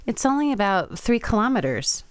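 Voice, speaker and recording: An actress playing a spirited Japanese woman in a radio play, expressive and earnest, by turns playful and wary. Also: mid-range voice, normal speed, smooth, dry recording, natural voice. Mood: confident, playful.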